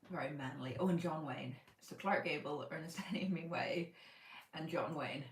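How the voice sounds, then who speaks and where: A woman's voice: sits low, moderate pace, roomy sound, recorded from a distance. A woman from Northern Ireland, raised in Spain, hosting a casual book-channel livestream from home and thinking aloud with plenty of fillers. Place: home room by her bookshelf.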